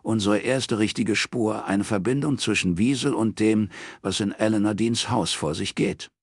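A man voicing a brisk, matter-of-fact head of a detective agency in an audiobook. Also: lowish voice, husky, dry recording, studio quality, voice pushed up. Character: businesslike male detective agency boss.

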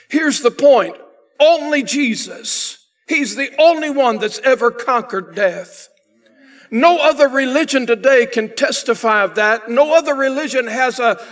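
High-voiced older pastor preaching emphatically. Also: male voice. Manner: emphatic preaching, swelling louder on key phrases. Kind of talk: sermon. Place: church sanctuary, indoors.

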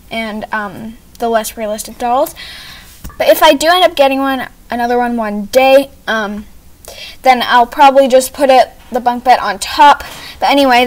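A young female vlogger chatting casually in a rambling, self-correcting way as she hosts a home tour video. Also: high-pitched. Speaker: host of a doll video channel. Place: small home playroom, indoors. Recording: noticeable background noise, amateur camera audio.

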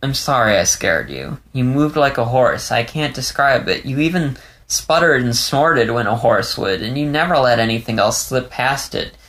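A man voicing a caring adult male dog who speaks in a soft, reassuring way. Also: medium pitch, animated, moderate pace, hardly any pauses. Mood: gentle.

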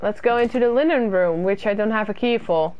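A woman's voice: mid-range voice, fairly quick, muffled recording. A young woman from the UK, casually chatting with her viewers while streaming a horror game and reading their comments aloud. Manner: chatty and self-deprecating, reads chat aloud and answers it. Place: small room indoors.

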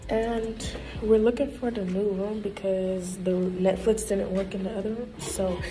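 A woman's voice: mid-range voice, fairly even intonation, a little slow, mellow, slight background noise, low-quality recording. A young adult American woman, chatting casually as a vlogger. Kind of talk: casual vlog chat. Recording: music behind the voice.

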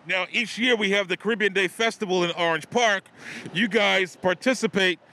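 A man's voice: high voice, moderate pace, bright, forceful tone. A middle-aged man interviewing a shop owner on a sidewalk for community TV, talking into a handheld mic with playful promo patter. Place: outdoor sidewalk by shops.

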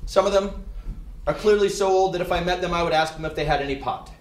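A man's voice: high-pitched, quick pace. A middle-aged man reading comic prose aloud to a live audience with mock indignation. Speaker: reader at a book reading. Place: indoor party room with live audience. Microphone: podium microphone on a stand. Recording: echoey live room, noisy.

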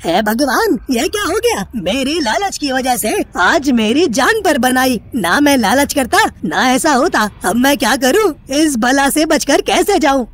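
Woman voicing an excitable, swooping greedy parrot. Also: high-pitched, unhurried, hardly any pauses, exaggerated voice. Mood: panicked, regretful. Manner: exclamatory, dramatic. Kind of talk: animated moral tale acting.

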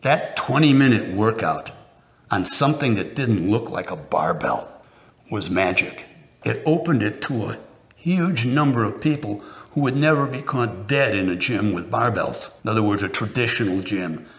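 An older man in a documentary interview, telling gym history in an animated way. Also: fairly low pitch, moderate pace. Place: indoor studio, plain backdrop. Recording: music under the speech.

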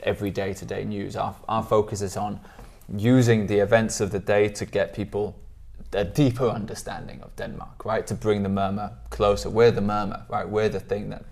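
Thirties male editor, studio guest; low monotone delivery, thoughtful with hesitations. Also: normal speed, gravelly. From Switzerland. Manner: thoughtful, hedging with ums and restarts. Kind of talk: studio interview. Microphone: lapel.

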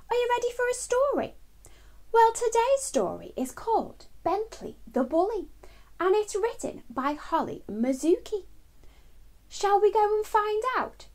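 Middle-aged woman, children's storytime host, high pitch, lively intonation, expressive picture-book reading. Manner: expressive, addressing young children.

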